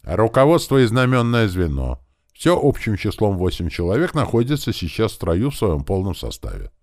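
A man's voice: lowish voice, very animated, moderate pace, no echo, clean studio sound, pitched above the performer's own voice. A man voicing a military officer who reports formally in clipped phrases, in an audiobook story. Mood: formal, loud. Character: military deputy officer giving a crisp parade report.

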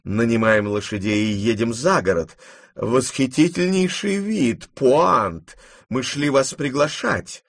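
A man's voice: medium pitch, animated, slow, creaky, no room sound, studio quality, heavily acted. A man playing a flustered general in an audiobook, wheedling his aunt in a faltering, increasingly desperate way. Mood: desperate coaxing. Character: flustered pleading general, a nephew growing desperate. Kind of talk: dramatized audiobook reading.

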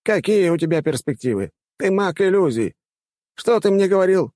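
A man's voice: high voice, animated, normal speed, mellow, no echo, clean studio sound, voice pushed up. A man voicing a pompous, exclamatory elderly male academy rector in a fantasy audiobook. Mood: blustering, persuasive.

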